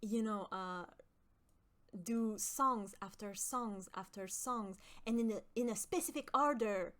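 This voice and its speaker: A young woman from with a high voice, chatting with her stream viewers and often pausing with fillers. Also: fairly bright tone. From Quebec. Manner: hesitant, many fillers and restarts. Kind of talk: casual chat with stream viewers.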